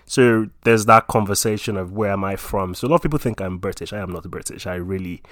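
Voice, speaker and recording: A man's voice: sits low, fast, dry recording, clean studio sound. A young man from Nigeria in his twenties, chatting casually about himself with lots of fillers. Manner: relaxed, rambling with many fillers.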